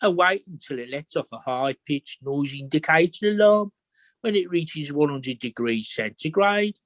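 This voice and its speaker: A man playing an animated tradesman with a gravelly, husky voice in a comedy audio drama. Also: a little high, moderate pace, dry recording. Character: animated tradesman and jam seller.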